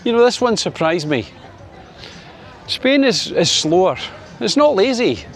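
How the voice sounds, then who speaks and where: A man's voice: sing-song, measured pace, a faint crowd. Chatty Scottish man in his sixties. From Scotland. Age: 60s. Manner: chatty, wry, lots of fillers, lifts his pitch for sunny Spain. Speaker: vlogger. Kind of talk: casual opinion vlog to camera.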